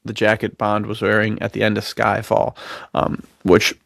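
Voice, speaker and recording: Creaky-voiced man, slangy podcast chat. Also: fairly low pitch, moderate pace, no room sound. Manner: slangy, excitable bro talk. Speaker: podcast co-host. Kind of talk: casual, enthusiastic chat between friends.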